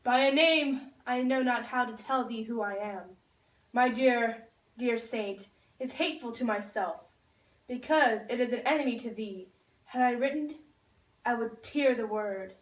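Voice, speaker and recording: High-voiced woman as lovestruck young Romeo, echoey. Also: measured pace, close to an everyday voice. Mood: earnest. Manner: declaiming verse, reciting from a script. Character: Romeo puppet, lovestruck young man, ardent and poetic. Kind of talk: Shakespeare recited for a school puppet show. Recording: echoey room, far from the mic.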